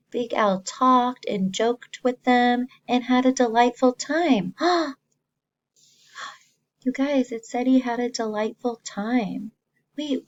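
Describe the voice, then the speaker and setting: A woman teacher reading a story to young children, expressively, pausing to explain simply and ask the listeners questions. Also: on the high side, muffled recording.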